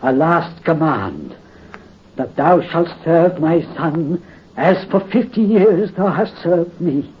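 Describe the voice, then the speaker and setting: An actor playing a dying French count consumed by vengeance, weak yet commanding. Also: male voice, on the high side, lively intonation, slow pace, husky, heavily acted. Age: 50s. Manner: weak but commanding, imperious. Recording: dull, faintly hissy 1930s radio recording.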